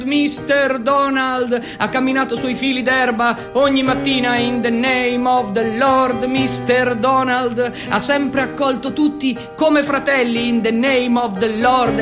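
A man playing a fervent, solemn preacher who exhorts insistently in repeated refrains. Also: very high-pitched. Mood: solemn, exalted. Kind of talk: theatrical sermon monologue.

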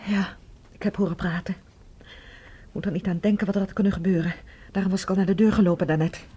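An actress playing an anxious, earnest woman on the run, speaking excitably in a Dutch radio drama. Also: medium pitch, fast, slightly rough, lo-fi sound, lightly acted. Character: anxious, earnest woman fugitive, helpful accomplice. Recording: noisy radio drama recording.

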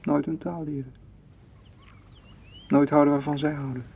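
A man's voice: on the high side, level tone, a little slow, creaky, breathy edge, exaggerated voice. A man playing a frustrated, hurt lover, intense and reproachful, in a radio play. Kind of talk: Dutch radio play acting. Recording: dull, faintly noisy old radio recording.

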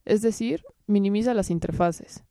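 A young woman as guest expert, giving a measured, lecture-like scripted explanation that lists points one by one. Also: medium pitch, dark tone, dry recording, studio quality.

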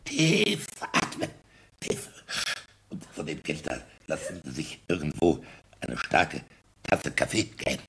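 An actor playing a flustered schoolteacher giving urgent orders in a German radio play. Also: male voice, very deep voice, wildly swooping pitch, a little slow, background noise, full character voice. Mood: alarmed, urgent. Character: flustered male schoolteacher, commanding his class.

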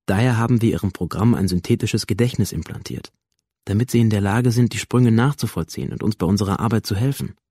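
An actor playing a clinical police researcher in a radio drama, speaking in a formal, matter-of-fact, bureaucratic way. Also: male voice, fairly low pitch, fast, mellow, slightly rough, no echo. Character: coolly clinical male police researcher explaining a simulation.

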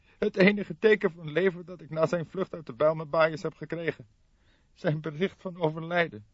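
A man's voice: on the high side, fairly even intonation, moderate pace, no echo, voice pushed up, lightly acted. A man voicing a nervous, distraught man under questioning in an audiobook. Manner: shocked, sobbing, lisping outbursts. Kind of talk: audiobook character voice. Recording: dull lo-fi audiobook recording.

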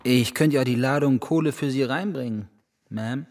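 An actor playing a meek, hungry young drifter from the country in a radio drama, hesitant and deferential. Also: male voice, mid-range voice, normal speed, a little mellow, faint music behind, lightly acted.

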